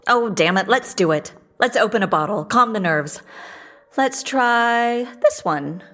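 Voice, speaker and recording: A woman's voice: medium pitch, animated, unhurried, fairly bright tone. A woman acting in an audio drama as a practical, dry-humoured woman who takes charge, in naturalistic dialogue. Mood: wry, rattled.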